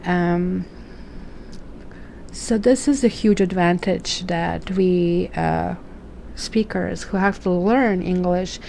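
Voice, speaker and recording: A woman's voice: fairly low pitch, slow pace, vocal fry, dark tone, slight background noise. A woman from Croatia with a Croatian accent, reading tarot cards in a chatty, musing way and asking questions aloud. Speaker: tarot reader.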